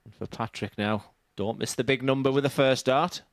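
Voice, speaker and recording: A man's voice: medium pitch, moderate pace, a little hiss or hum. A man with a Dutch accent giving live darts commentary in a plain-spoken, analytical way.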